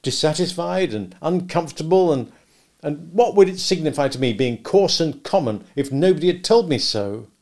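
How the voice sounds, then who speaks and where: A man's voice: medium pitch, lively intonation, moderate pace, voice pushed up, lightly acted. A man voicing a restless, discontented young village man who speaks earnestly and impatiently.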